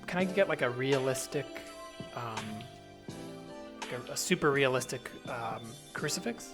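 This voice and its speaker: Casting: male improviser as earnest, awkward churchgoing guy, tentative delivery, middling pitch, soft music bed. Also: expressive, lightly acted. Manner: halting, tentative. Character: awkward, earnest churchgoing man at face-painting meetup. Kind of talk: improvised audio comedy.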